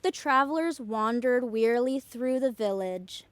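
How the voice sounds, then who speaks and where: A woman reading a children's storybook aloud as a playschool storyteller, expressive, deliberate and emphatic. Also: fairly high pitch, dry recording.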